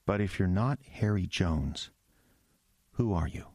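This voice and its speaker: A man's voice: low-pitched, expressive, unhurried, mellow, no room sound, clean studio sound. A man playing an earnest young small-town pastor who gets easily flustered, in an audio drama. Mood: bewildered. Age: young adult. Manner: earnest, stammering when rattled.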